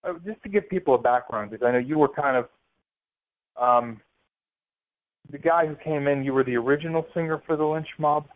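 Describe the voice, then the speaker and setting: A man hosting a radio interview, asking questions with many pauses and fillers. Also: on the high side. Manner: hesitant, lots of ums and uhs. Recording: tinny phone-like sound.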